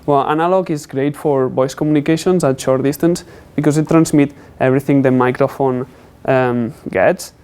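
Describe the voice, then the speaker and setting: Young man giving a halting technical presentation. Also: mid-range voice, fairly even intonation, darkish tone, smooth. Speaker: student presenter.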